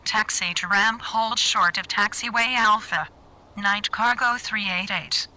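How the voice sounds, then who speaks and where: A woman's voice: medium pitch, measured pace, bright, forceful tone, low-quality recording. A synthetic-sounding woman's voice playing a businesslike cargo pilot in a game, giving clipped, formal radio readbacks. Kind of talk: scripted radio phraseology.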